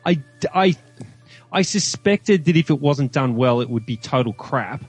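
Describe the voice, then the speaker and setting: A man's voice: mid-range voice, animated, a little slow, slightly rough, dry recording, muffled recording, soft background music. A man with an Australian accent giving his views as a guest in a casual film review chat. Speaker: podcast guest.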